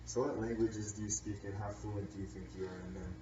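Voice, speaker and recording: Deep, mellow male interviewer off-mic. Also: level tone, fairly quick, far from the mic. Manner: hesitant, with fillers between questions. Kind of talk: casual interview questions. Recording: muffled voice from off the mic.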